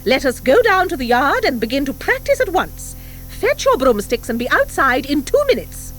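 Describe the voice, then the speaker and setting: A woman voicing a stern, formidable schoolmistress in an audiobook, speaking crisply, precisely and with command. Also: high-pitched, very animated, moderate pace, fairly bright tone, slight background noise, voice pushed up. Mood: authoritative. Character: stern, formidable, brisk schoolmistress at a witches' academy. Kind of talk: audiobook character acting. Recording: 1984 audiobook from cassette.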